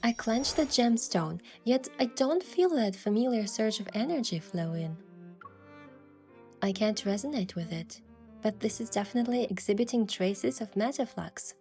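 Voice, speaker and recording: A woman playing an earnest, playfully cheeky young hunter heroine, mixing reflective first-person story narration with expressive video game dialogue. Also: medium pitch, normal speed, faint music behind, lightly acted. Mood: reflective, descriptive.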